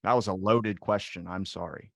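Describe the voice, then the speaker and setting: Rambling, self-deprecating podcast host, low voice. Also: male voice, steady tone, normal speed, gravelly, dry recording, clean studio sound. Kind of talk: casual interview chat.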